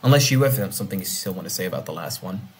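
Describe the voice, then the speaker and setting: Husky young man, chatty reactor. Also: low-pitched, racing. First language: Dutch. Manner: rambling, excitable, thinks aloud. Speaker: reaction video host. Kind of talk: casual reaction commentary.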